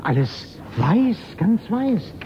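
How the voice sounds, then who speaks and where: A man's voice: sits high, very animated, extremely slow, dull audio, slight background noise, heavily acted. An actor playing a nervous, flustered man of the future in a German radio drama, stammering and repeating his words. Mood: wondering, uneasy.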